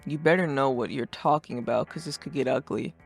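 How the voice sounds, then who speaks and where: A performer voicing a brash, sarcastic, too-cool teenage boy who rants and swears with deadpan cool in a fan-dubbed comic. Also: medium pitch, fairly quick, vocal fry, husky. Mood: cocky. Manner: foul-mouthed, ranting, deadpan cool. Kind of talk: fandub of a webcomic chat. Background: music.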